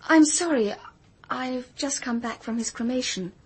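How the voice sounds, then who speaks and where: An actress playing a young English private detective in a radio drama, speaking politely and earnestly. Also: female voice, high-pitched, unhurried, breathy edge. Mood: earnest, apologetic. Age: young adult. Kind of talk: British radio drama acting.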